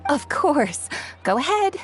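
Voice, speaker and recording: Game role: polite, friendly female boutique owner, highish voice, lively intonation. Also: takes it slowly, music in the background, full character voice. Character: kindly, polite female clothing shopkeeper. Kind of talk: video game voice acting.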